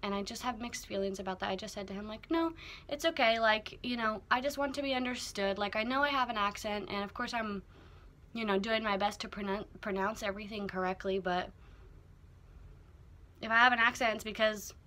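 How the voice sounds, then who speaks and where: A young woman chatting casually to camera in a small wood-panelled houseboat cabin, full of fillers and animated asides. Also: medium pitch, a little fast. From United States. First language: English. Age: young adult. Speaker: vlogger. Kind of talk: casual vlog chat to camera. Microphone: laptop camera at close range.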